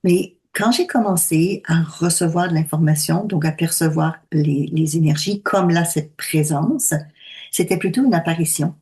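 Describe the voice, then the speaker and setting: A woman in her sixties speaks in a slightly low, calm voice. She explains her points slowly and deliberately in easy conversation. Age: 60s. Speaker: medium. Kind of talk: conversational talk.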